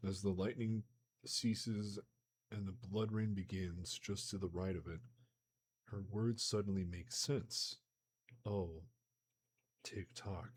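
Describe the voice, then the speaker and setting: Hoarse deep-voiced man reading aloud. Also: slight background noise. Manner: reads steadily, breaks into excited slangy chat. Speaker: streamer reading a novel aloud. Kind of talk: live-streamed book reading with casual asides.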